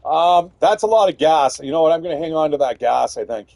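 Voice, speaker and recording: A man giving loud, rambling, profanity-filled commentary while playing a video game. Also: fairly high pitch, expressive, fairly quick, gravelly, no room sound. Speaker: gaming streamer. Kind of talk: casual gameplay commentary.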